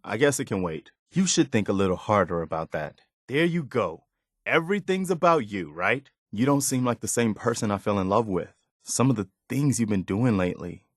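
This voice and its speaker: An actor voicing an earnest, principled, loyal but weary militia officer in a video game, speaking in a sincere, measured, plain-spoken way. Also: male voice, lowish voice, big pitch swings, no room sound, studio quality. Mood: understanding, resigned. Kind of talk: video game character dialogue.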